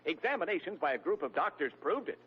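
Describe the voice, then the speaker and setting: A male radio announcer from the 1940s who reads ad copy in a brisk, upbeat salesman style. His voice is fairly high and lively, and it is heard through a muffled, lo-fi old broadcast. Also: slight background noise. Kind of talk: 1940s radio commercial reading.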